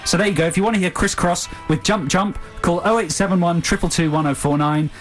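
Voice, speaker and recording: A man's voice: high voice, steady tone, fast talker, soft background music. A man co-hosting a radio show, bantering playfully and teasing callers. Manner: playful, teasing, laughs often. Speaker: radio DJ co-host.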